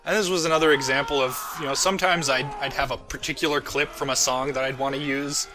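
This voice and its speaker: A man's voice: mid-range voice, fairly even intonation, quick pace, music in the background. A man giving casual, jokey and self-deprecating audio commentary as the creator of the show he is talking about.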